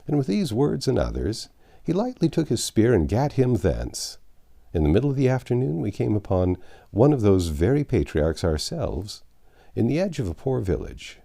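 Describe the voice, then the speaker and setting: A man reading classic literature aloud as an audiobook narrator, phrasing deliberately and expressively. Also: medium pitch, sing-song, creaky, mellow.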